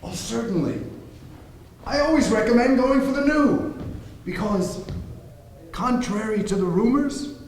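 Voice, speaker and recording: A man's voice: extremely high pitch, animated, a little slow, long pauses, darkish tone, exaggerated voice. A man on stage playing a breezy, officious placement agent in a play. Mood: brisk, officious. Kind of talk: live stage play comedy dialogue. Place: theatre stage, recorded from a distance. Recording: very echoey hall, faint noise.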